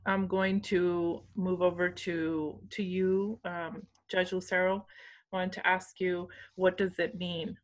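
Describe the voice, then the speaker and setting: A woman's voice: on the low side, a bit bright. A woman moderating a webinar panel, encouraging and repeating key points for emphasis. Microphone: video call.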